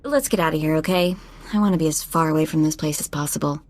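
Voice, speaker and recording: A woman voicing a sassy, street-smart young sidekick in a video game, informal, expressive and sarcastic. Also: low-pitched, fast talker, background noise, close to an everyday voice. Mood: awkwardly warm.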